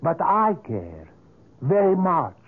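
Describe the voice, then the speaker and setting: A man's voice: fairly high pitch, huge pitch swings, slow pace, slightly rough, heavily acted. An actor in a 1970s radio drama playing a courteous, obsessive middle-aged male sculptor who speaks in a formal, florid, earnest way. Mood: obsessive, persuasive. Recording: muffled, narrow-band 1970s radio recording.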